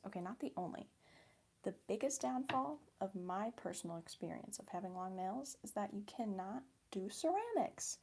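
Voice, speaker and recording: Soft, gravelly Midwestern woman whispering. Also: medium pitch, a little hiss or hum. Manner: soft-spoken, drifting between whisper and quiet voice; chatty and giggly, answering viewers. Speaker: ASMR creator. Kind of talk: ASMR chat while crafting.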